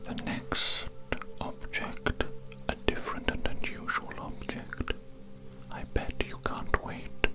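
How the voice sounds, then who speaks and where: A person whispering in a breathy, unvoiced voice, playing a doctor in an ASMR hearing-test roleplay with coaxing, repetitive patter. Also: slow pace.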